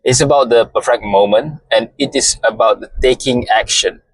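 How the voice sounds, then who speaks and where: A man with a Malaysian accent, a native Malay speaker, giving a slow, deliberate motivational talk and often repeating phrases. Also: mid-range voice, husky, dry recording, low-quality recording. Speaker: podcast host.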